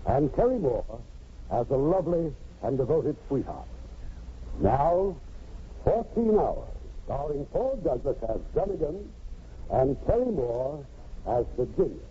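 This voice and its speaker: A man giving a formal, measured, dramatic scripted introduction as host of a 1950s radio show. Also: mid-range voice, big pitch swings. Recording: muffled, hissy 1950s radio recording.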